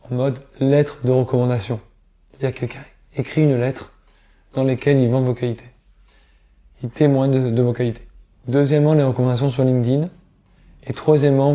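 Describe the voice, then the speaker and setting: Hoarse man coaching viewers, very dark voice. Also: mid-range voice. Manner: direct, coaxing, speaks straight to viewers. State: hoarse from illness. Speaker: career coach. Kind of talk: casual advice talk to camera.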